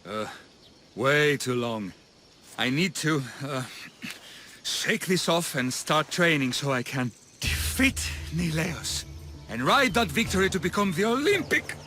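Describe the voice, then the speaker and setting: A self-doubting young athlete from a video game, slow and whiny, his pitch swinging to extremes. He sounds dramatic and exasperated, hesitating as he goes. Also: big pitch swings, pushed and bright, faint music behind, exaggerated voice. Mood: weary, discouraged. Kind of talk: video game character acting.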